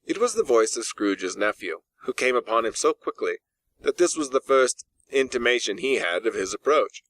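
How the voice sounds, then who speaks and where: A man reading a classic story as an audiobook narrator, in a measured, expressive storytelling manner. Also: mid-range voice, no echo. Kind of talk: scripted literary narration. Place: dry in-house recording space.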